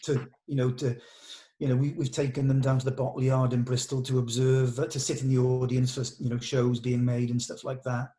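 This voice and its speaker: A man's voice: mid-range voice, flat delivery, a little fast, mellow. A man talking informally in a production meeting, explaining things in a hesitant, thinking-aloud way.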